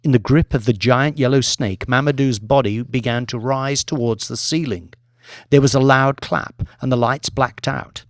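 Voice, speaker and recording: A man narrating dramatic fiction as an audiobook reader, in a measured, articulate storytelling manner. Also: mid-range voice, dry recording, clean studio sound.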